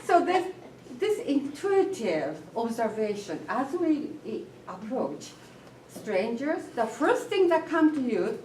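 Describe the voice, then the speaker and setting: Distant woman, thoughtful halting speech. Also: a little high, very animated, darkish tone. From Japan. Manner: thoughtful, pausing often with fillers. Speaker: forum participant. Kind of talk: group discussion. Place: meeting room with a group. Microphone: room mic at a distance. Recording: echoey room, distant mic, faint noise.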